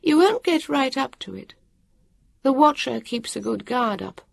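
A harassed, irritable woman guide, put on by a female reader with a raised, lively pitch, speaking brusquely and impatiently. Her voice has a husky edge, heard close and dry in an audiobook. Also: measured pace, no room sound, exaggerated voice. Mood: weary. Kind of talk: audiobook character voice.

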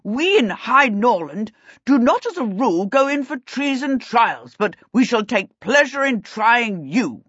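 A woman's voice: a little high, very animated, unhurried, fairly bright tone, husky, dry recording, muffled recording, pitched above the performer's own voice, exaggerated voice. Woman voicing a courteous, understated storybook king. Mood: indignant. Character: genial, mildly put-out old-fashioned king, male.

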